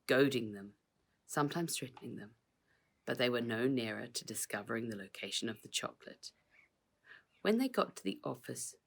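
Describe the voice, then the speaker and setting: Female author-narrator for children's adventure fiction, expressive reading, noticeably creaky voice. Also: rumbling low.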